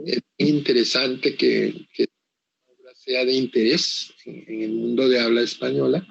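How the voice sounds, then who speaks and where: An older man from Costa Rica, English his first language, talks at a very slow, halting pace with frequent restarts. His voice is gravelly and husky. The sound is dull and lo-fi. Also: mid-range voice, steady tone. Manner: halting, full of pauses and restarts. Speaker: author being interviewed. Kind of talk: slow reflective interview answers.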